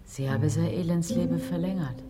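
An actress playing Death as a sardonic, world-weary lady in a radio play, with measured, mocking condescension. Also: low-pitched, steady tone, close to an everyday voice. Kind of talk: radio drama acting.

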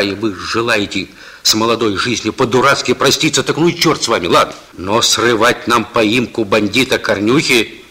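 A man's voice: on the low side, bright, forceful tone, slightly rough. An actor in a Soviet radio drama playing a seasoned police chief, stern but fatherly, who admonishes and repeats his words.

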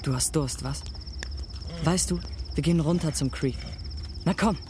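Slow, hushed, lonely wanderer played by a man. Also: a little high, background noise, close to an everyday voice. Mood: soothing, watchful. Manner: hushed, pausing, reflective. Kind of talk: German radio drama monologue. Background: music with animal and insect sounds.

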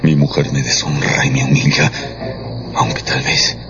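A man's voice: rumbling low, fairly even intonation. An actor playing a humiliated, lovesick nobleman, brooding and desperate, in a radio drama. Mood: bitter brooding. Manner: slow, anguished, imploring delivery. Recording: dull radio production with music underneath.

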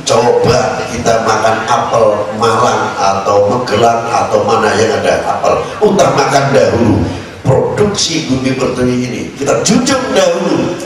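A man's voice: mid-range voice, normal speed, crowd noise. Echoey, distant Javanese-accented male preacher. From Indonesia. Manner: exhortative, emphatic, with rhetorical questions. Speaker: Islamic preacher. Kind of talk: sermon mixing Indonesian with regional speech and Arabic quotations. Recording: echoey, noisy hall recording from afar.

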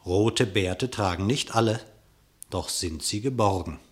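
A man narrating a classic radio play in verse, in an expressive, declamatory storytelling manner. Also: lowish voice, measured pace.

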